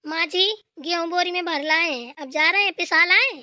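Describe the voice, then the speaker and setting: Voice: very high-pitched, normal speed, pushed and bright, dry recording, clean studio sound, exaggerated voice. A performer voicing a dutiful, overworked village daughter-in-law in a Hindi cartoon, chatty and deferential. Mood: worried, grumbling. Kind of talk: Hindi family cartoon dialogue.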